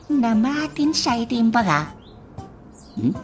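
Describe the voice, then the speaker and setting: A woman's voice: on the high side, sing-song, takes it slowly. A woman playing a vain, teasing comic character in an Ilocano radio drama, full of exclamations. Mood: smug amusement. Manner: exclamatory, catchphrase-prone, laughing.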